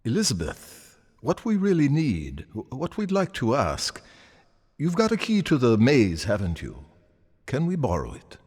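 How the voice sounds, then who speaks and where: An actor playing an awkward, gently coaxing man in a TV drama, polite, hesitant and placating. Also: medium pitch, huge pitch swings, a little slow, breathy edge, in a large hall, full character voice. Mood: awkwardly polite. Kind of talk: TV drama acting.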